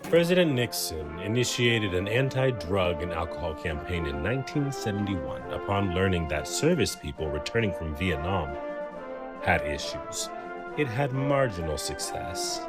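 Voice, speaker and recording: A man's voice: low-pitched, big pitch swings, moderate pace. A man reading scripted narration in a formal, expository manner. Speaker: co-reader of a poem. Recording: prominent music behind the voice. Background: instrumental music.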